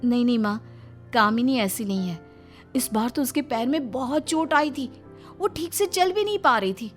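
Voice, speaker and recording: A high, lively voice of a trusting elder daughter-in-law played by a woman storyteller. She is caring and earnest in a family storybook tale, with faint music behind. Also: normal speed, natural voice. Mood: earnest, reassuring.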